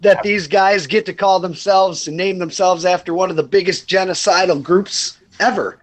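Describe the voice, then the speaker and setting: A man's voice: high voice, steady tone, moderate pace. A man hosting a casual livestream chat over his phone, laughing often and repeating words as he starts a thought. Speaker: livestream host and dad. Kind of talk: casual group video chat.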